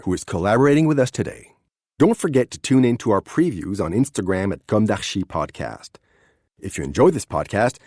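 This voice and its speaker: Lively-pitched man announcing, dry sound. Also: dry recording. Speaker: podcast announcer reading the outro. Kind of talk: scripted podcast outro.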